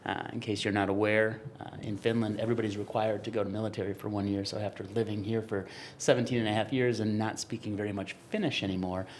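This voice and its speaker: Casual low-voiced American man, faint background noise. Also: flat delivery, fast, few pauses. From United States, grew up in Wisconsin. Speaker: podcast co-host and golf business co-founder. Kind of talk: casual chat, telling own story.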